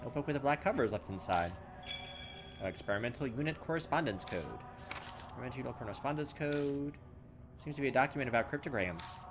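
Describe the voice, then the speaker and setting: A man's voice: medium pitch, animated, fast talker, over music. A man playing a horror game and reading its text aloud, thinking aloud and making fun of his own mistakes. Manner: self-mocking, thinks aloud, loses his way. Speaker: let's play gamer.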